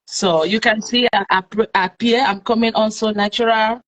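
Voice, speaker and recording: A woman's voice: fairly high pitch, steady tone, moderate pace. A Nigerian woman hosting a live-streamed talk show, chatting with followers and leaning on plenty of fillers. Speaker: host.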